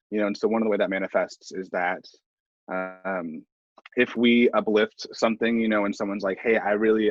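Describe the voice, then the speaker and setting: A young man with a low voice speaks thoughtfully in an interview, pausing as he searches for words. The sound is roomy and slightly muffled. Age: young adult. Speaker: stage management graduate student.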